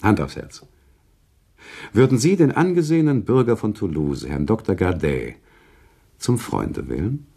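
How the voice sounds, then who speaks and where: A deep, gravelly male author in a literary radio play. He is earnest and reproachful, phrasing formally with wide, expressive swings of pitch. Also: unhurried, long pauses, heavily acted. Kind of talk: German radio play dialogue, literary storytelling.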